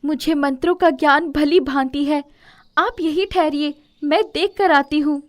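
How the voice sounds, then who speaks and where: A woman voicing a polite, earnest young fairy in a children's story, with formal, dramatic and expressive speech. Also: high-pitched, measured pace. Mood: urgent, confident.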